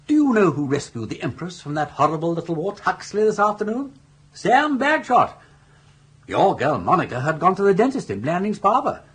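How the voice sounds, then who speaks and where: An actor playing a suave, roguish older gentleman with an upper-class English accent, speaking in flowery, persuasive phrases in a British radio comedy. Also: fairly high pitch, husky, full character voice.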